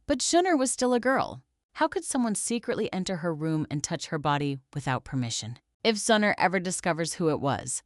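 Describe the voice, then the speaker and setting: Woman voicing a gentle, clever teenage girl, lively pitch. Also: fairly quick, no echo, clean studio sound. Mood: quiet reproach. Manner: soft, calm, composed. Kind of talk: audiobook fantasy character dialogue.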